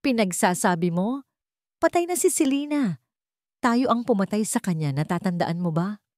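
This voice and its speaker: A woman's voice: medium pitch, animated, moderate pace, darkish tone, dry recording, studio quality, pitched above the performer's own voice, natural voice. A woman voicing an irritated, dismissive husband in an audio drama, curt and exasperated. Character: irritated, dismissive husband, fed up with his wife.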